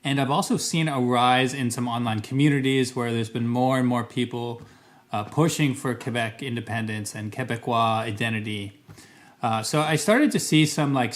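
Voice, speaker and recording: A Canadian man with a middle-range voice talks casually to camera as a vlogger. He is thoughtful and careful, hedging often and restarting his sentences. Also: mid-range voice. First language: English. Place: Montreal.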